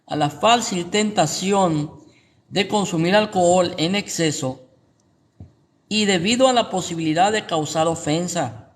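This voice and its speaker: A man's voice: high voice, a little slow. A Guatemalan man with a Guatemalan Spanish accent, a pastor giving informal Bible teaching and checking in with rhetorical questions. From Guatemala City, Guatemala.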